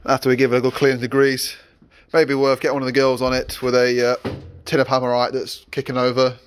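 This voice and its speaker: A man's voice: medium pitch, monotone, fast talker, slight background noise. Rambling man in a workshop. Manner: rambling, many fillers and restarts. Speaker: vlogger, workshop owner. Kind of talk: casual vlog narration. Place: outdoor yard and workshop.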